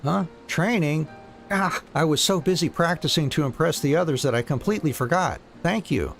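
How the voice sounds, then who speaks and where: A man puts on an over-polite, scatterbrained young recruit from a fantasy game. The speech is wordy and flustered, with creak in the voice and faint music underneath. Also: fairly high pitch, normal speed, voice pushed up, natural voice. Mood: apologetic. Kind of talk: reading fantasy game dialogue aloud.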